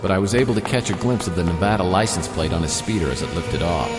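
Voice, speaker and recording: An actor playing a wisecracking, world-weary private detective in a noir radio drama, with a wry, deadpan delivery. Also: male voice, low voice, quick pace, natural voice. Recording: roomy reverb, music underneath.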